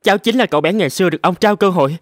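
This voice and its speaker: A man's voice: sits high, racing, no room sound, clean studio sound, natural voice. A man voicing a humble young violinist in a cartoon, with a polite and earnest manner. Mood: grateful. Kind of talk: animated cartoon dialogue.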